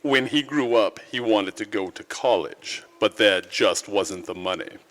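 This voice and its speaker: A man voices a calm heavenly superior narrating in a vintage radio play. His lowish voice is measured and authoritative, ringing through a reverberant hall. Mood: calm authority. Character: calm, authoritative senior angel telling a life story. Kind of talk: 1940s radio drama narration. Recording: reverberant church hall, live stage.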